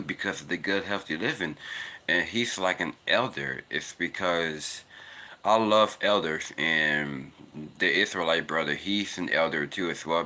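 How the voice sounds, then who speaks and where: A man in his twenties talking casually and earnestly about faith, rambling and repeating himself while out on a walk. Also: sharp tone. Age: 20s. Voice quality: naturally deep voice. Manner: rambling, earnest, repetitive "it's because". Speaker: vlogger. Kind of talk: casual spiritual monologue. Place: outdoors, walking in cold weather.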